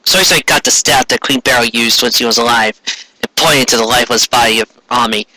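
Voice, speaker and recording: A man's voice: medium pitch, brisk, pushed and bright, rough recording. A man giving a dramatic comic reading of fan fiction, theatrical and stumbling over clumsy wording.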